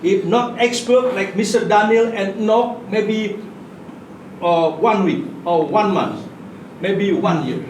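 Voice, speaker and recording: A high-pitched man with an Indonesian accent gives an informal craft demonstration. He talks in short, halting, simple phrases. The voice is picked up from a distance in an echoey space with clear background noise. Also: a little mellow. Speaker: puppet workshop guide and demonstrator.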